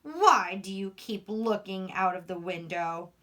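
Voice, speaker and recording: Woman reading as a gloomy storybook toad, swooping pitch. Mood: doubtful. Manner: expressive, deliberate read-aloud. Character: gloomy, doubtful male toad from a children's storybook.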